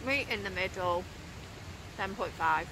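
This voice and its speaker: A woman's voice: mid-range voice, expressive, normal speed. British woman chatting outdoors, noisy phone recording. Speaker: hiking companion in the vlog. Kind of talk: casual chat. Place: outdoors in sheltered woodland. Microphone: phone camera a few feet away. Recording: windy phone audio, off-mic.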